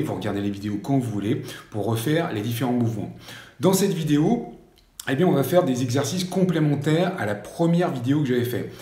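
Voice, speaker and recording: A man in his fifties coaching a gentle strength workout, calmly reassuring and often pausing with hesitations. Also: medium pitch. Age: 50s. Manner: gentle, reassuring, repeats advice not to strain, frequent hesitations. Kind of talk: exercise instruction video. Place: room at home with an exercise mat. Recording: very echoey room, voice far from mic.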